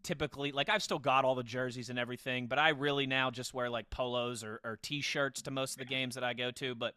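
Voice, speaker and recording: A man's voice: mid-range voice, fairly even intonation, fast, pushed and bright, smooth, no room sound, clean studio sound. An American man in his thirties hosting an upbeat football podcast, chatting and introducing segments. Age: 30s. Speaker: sports podcast host.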